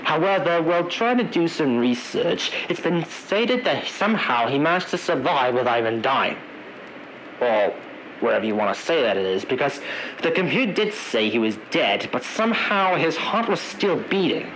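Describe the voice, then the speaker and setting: A man narrating an analog horror emergency briefing in a halting, wordy way, searching for his words. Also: a little high, sing-song, fairly bright tone, slight background noise, low-quality recording.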